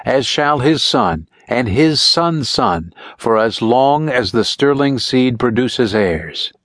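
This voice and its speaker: A man voicing a vengeful, heartbroken young witch who chants her curse slowly and solemnly. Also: mid-range voice, animated, fairly bright tone, no echo, clean studio sound. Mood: chanting. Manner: slow solemn incantation.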